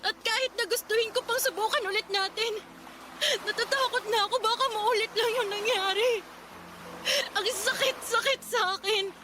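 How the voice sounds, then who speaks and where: An actress playing a grieving, stubborn wife in a Filipino radio drama, emotional and pleading. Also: female voice, very high voice, fairly even intonation, moderate pace, noisy background. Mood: tearful, hurt. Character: grieving wife who cannot bear children, stubborn, self-sacrificing.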